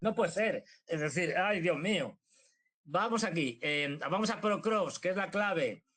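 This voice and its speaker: A man giving casual live political commentary, halting and correcting himself as he explains. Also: high voice, animated, fairly bright tone. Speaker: talk show commentator.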